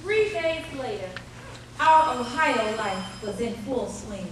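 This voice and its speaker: A reminiscing female storyteller played by an actress narrating a family radio play. Her high voice comes from a distance on a very echoey stage. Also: lively intonation, slow, a bit bright. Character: woman narrator recalling her nervous childhood. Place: theater stage before live audience. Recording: echoey noisy live stage, distant mic.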